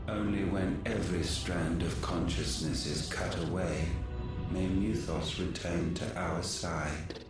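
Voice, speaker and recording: Deep, echoing godlike being. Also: male voice. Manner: grave, measured, archaic pronouncements. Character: cold, solemn godlike being decreeing humanity's fate. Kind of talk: dramatic fantasy game cutscene acting. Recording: cavernous echo, distant, music behind.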